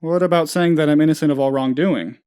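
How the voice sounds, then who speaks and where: An actor playing a naive, anxious, scatterbrained office boss who rambles and gets sidetracked, in a video game. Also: male voice, fairly high pitch, fast, dark tone, clear, resonant tone, lightly acted. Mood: earnest, hesitant. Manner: rambling, absent-minded, easily sidetracked. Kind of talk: video game character voice lines.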